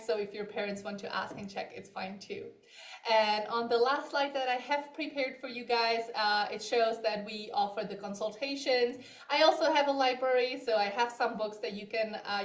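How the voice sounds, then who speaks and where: A woman education advisor hosts a livestream, friendly and casual, with fillers. Her voice sits fairly high. The recording is echoey, as from a bare room. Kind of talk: livestream presentation. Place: Moravia, Czech Republic.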